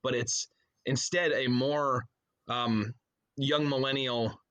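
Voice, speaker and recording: A man's voice: medium pitch, fairly even intonation, takes it slowly, fairly bright tone. A man talking casually as a guest in a podcast interview, rambling and often interrupting himself with asides. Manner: rambling, self-interrupting storyteller with frequent trailing asides.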